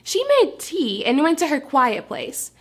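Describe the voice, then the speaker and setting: A woman reading a story aloud to young children, expressive and instructive, pausing to ask questions as a teacher. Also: sits high.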